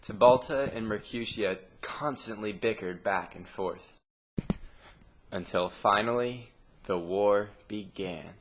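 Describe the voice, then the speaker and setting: Low-voiced man narrating a story. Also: slow pace, noisy background.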